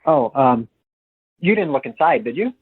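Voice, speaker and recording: A man's voice: mid-range voice, big pitch swings, moderate pace, slightly rough. A man talking casually on the telephone, as someone called by a radio show. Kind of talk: casual phone conversation. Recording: narrow tinny phone line.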